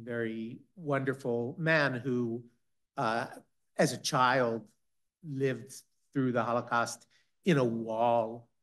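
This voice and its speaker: Guy on a panel telling a long story, lots of stops and restarts, average pitch. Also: a bit bright. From Israel-based. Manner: halting, many restarts and fillers.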